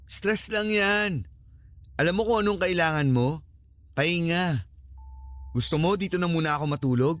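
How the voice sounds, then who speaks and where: A man's voice: fairly high pitch, normal speed, pauses often, a bit bright, no room sound, close to an everyday voice. A Filipino man playing a young horror vlogger, a dismissive but caring boyfriend, in a radio drama. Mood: teasing, reassuring.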